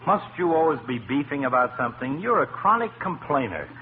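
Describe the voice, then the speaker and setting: Sardonic, animated family doctor. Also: male voice, a little high, fairly quick. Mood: needling. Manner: sardonic, mock-pompous. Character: wry, needling male family doctor. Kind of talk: 1940s radio comedy acting. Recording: noisy tinny old radio recording.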